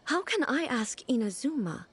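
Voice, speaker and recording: An actress playing a dignified, contemplative female ruler in a video game, speaking formally in a measured, pensive way. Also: high-pitched, big pitch swings, slight background noise. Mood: reflective. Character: dignified, contemplative female ruler seeking eternity, earnestly puzzled. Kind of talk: scripted video game voice acting. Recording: background music under the voice.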